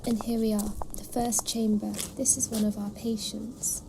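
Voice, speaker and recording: A woman playing a gentle, reverent, fearful cat nun in an audio drama, with a breathy, hushed, earnest delivery. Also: a little high, level tone, moderate pace, noisy background, natural voice. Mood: solemn, fearful. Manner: earnest, solemn.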